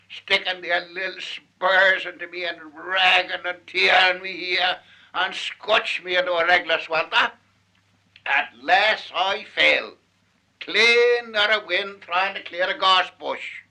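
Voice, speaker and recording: A man's voice: high voice, bright, forceful tone, rough recording. A man with a Manx accent giving a theatrical, emphatic recitation of dialect poetry on a 1940s archive recording. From Isle of Man. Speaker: Manx language student reciting dialect verse. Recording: 1948 archive field recording.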